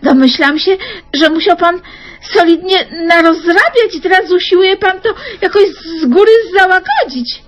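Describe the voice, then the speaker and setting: A woman's voice: extremely high pitch, animated, moderate pace. An actress playing a suspicious, sarcastic woman in an old radio comedy. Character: suspicious quick-tongued woman Eliza. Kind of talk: 1970s radio comedy acting.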